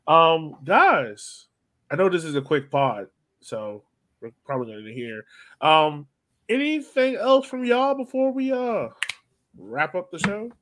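A man's voice: a little high, very animated, unhurried, fairly bright tone. American man hosting a casual soccer podcast. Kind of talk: casual chat, leading discussion.

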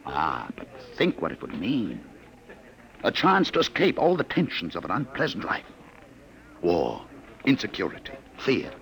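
A man's voice: low-pitched, huge pitch swings, unhurried, creaky, pauses often, fairly bright tone, full character voice. A man playing a suave, menacing government searcher who poses as a genial stranger, mock-polite and insinuating, in old-time radio drama style. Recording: vintage radio recording, faint noise.

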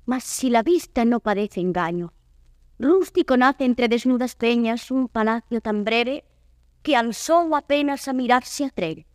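An actress playing a proud, troubled noblewoman traveller, declaiming classical verse in a grand, measured way. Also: on the high side, lively intonation, no echo, studio quality. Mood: awed dread.

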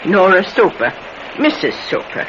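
A woman's voice: mid-range voice, sing-song, normal speed, close to an everyday voice. A woman playing a sprightly, independent old widow, chatty and folksy in an old-time radio drama. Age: older. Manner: folksy, chatty, muttering to herself. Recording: noisy, muffled old radio recording.

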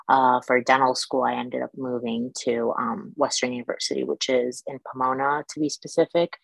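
A young woman from Iraq, a dental student, answering interview questions in a measured, thoughtful way with many pauses. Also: extremely low pitch, little pitch movement, vocal fry, dry recording, poor audio.

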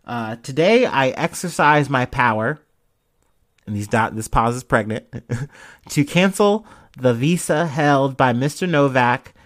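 A man hosts a casual news-of-the-week podcast in a loose, irreverent way. His voice sits at an ordinary middle pitch. He fills his talk with ums and jokes while he smokes and drinks. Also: lively intonation, measured pace, a bit bright. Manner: drinking and smoking while talking. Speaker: podcast host.

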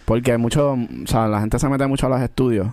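A man's voice: medium pitch, steady tone, fast, darkish tone, no room sound. A man hosting a podcast in an easygoing, slangy way. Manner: easygoing, slangy, uses local street words. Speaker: podcast host. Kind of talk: casual podcast conversation.

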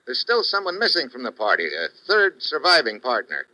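A man's voice: mid-range voice, huge pitch swings, a little fast, pushed and bright, a little hiss or hum, rough recording. A man playing a wisecracking foreign correspondent in an old radio drama, with a breezy tough-guy delivery. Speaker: lead actor, foreign correspondent. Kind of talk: 1950s radio drama acting. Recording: dry old radio recording.

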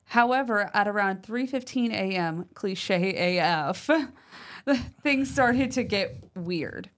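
A woman co-hosting a paranormal podcast, chatting casually and reading a listener's letter aloud with lively emphasis, using fillers like "like" and "um". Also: medium pitch, takes it slowly. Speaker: podcast co-host.

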